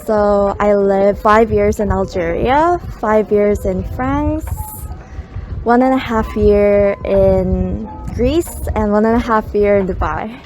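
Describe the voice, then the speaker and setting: A woman's voice: medium pitch, slow pace, a little mellow, soft background music. A young woman answering interview questions in a plain, orderly way.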